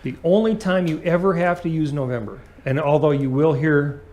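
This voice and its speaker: A man's voice: a little high, moderate pace, darkish tone. A man teaching an aviation lesson as a flight instructor, explaining things and checking understanding with short questions.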